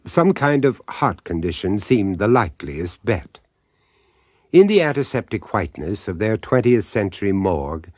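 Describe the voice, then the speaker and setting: An older man hosting and narrating a radio horror drama, relishing its dark irony with sly emphasis. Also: on the low side, no room sound, clean studio sound. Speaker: host and narrator.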